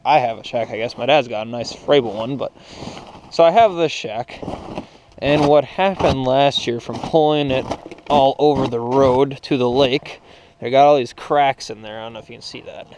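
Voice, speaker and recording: A man's voice: medium pitch, moderate pace, a little mellow, a little hiss or hum. A young man talking casually to his action camera as a vlogger, in a garage, in the snow and in his truck, rambling with lots of "so" and "yeah.". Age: young adult. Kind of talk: casual vlog narration.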